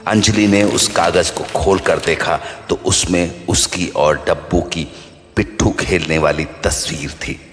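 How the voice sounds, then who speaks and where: Low-pitched male horror narrator, long reverb. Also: steady tone, a little slow, fairly bright tone. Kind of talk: scripted horror story narration. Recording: long reverb over background music.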